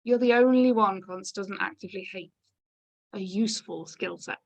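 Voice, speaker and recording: A woman playing an abrupt yet jaunty hotel manager in her fifties, brisk and breezily dismissive, in a script reading. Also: medium pitch, gravelly. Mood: brisk, breezy. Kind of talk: script table-read acting.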